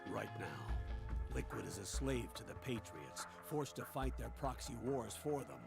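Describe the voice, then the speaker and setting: An actor playing an earnest, grave military strategist in a video game, explaining things in a measured way. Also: male voice, medium pitch, big pitch swings, creaky. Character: earnest, grave male strategist briefing a soldier. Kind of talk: dramatic video game cutscene acting. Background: loud game music.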